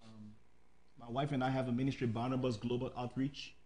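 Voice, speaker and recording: A man's voice: mid-range voice, steady tone, a little fast, darkish tone. A middle-aged man with a Liberian accent introducing himself as a ministry guest on a panel. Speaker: apostle, talk-show guest. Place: indoor TV studio, seated.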